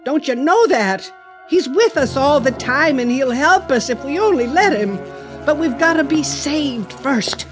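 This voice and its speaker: Radio drama zealot widow: high-pitched, slow and preachy, pushy, music underneath. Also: female voice, lively intonation. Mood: zealous, insistent. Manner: preachy, insistent, scripture-quoting. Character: fervent, pushy religious zealot widow cornering strangers.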